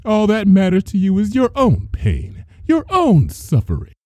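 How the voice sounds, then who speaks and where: Man voicing a wrathful regal pony princess, raised pitch. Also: wildly swooping pitch, measured pace, mellow, dry recording, studio quality, heavily acted. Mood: cold, scornful. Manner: grand, accusing, dramatic delivery. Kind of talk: dramatic fan fiction reading.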